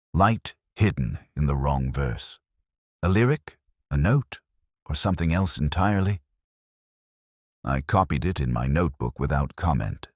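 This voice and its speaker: Very deep-voiced man narrating a detective story. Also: no room sound, clean studio sound. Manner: measured, literary, reflective delivery. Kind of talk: scripted first-person detective story narration.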